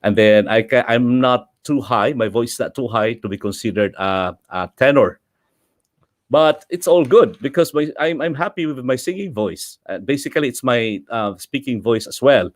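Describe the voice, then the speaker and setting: A man's voice: medium pitch, dry recording, clean studio sound. A middle-aged man with a Filipino accent hosting a livestream, chatting casually and laughing often as he switches between English and Filipino. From Philippines. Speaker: host.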